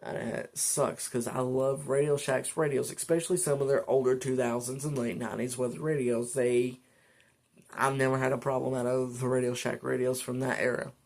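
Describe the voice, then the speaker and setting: A man hosts a casual hobby video with a creaky edge to his voice. He rambles along conversationally at a middling pitch, as if chatting to regular viewers. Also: little pitch movement, moderate pace, darkish tone. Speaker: host of a weather radio channel. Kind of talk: casual product talk to camera.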